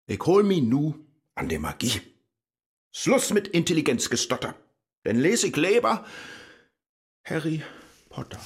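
An actor playing a frustrated, brooding scholar, declaiming comic rhymed monologue in a northern Low German accent. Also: male voice, husky, heavily acted. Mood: agitated, resolute. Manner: theatrical, declamatory, swinging into exclamations.

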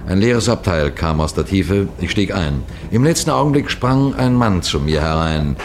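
Deep-voiced detective narrator. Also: male voice, animated. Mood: suspenseful, measured. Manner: deliberate, dramatic storytelling with suspenseful pauses. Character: male police detective, tense, wary narrator recounting his mission. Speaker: narrator and lead character. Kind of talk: 1960s German radio crime drama narration. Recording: noisy vintage 1960s radio recording.

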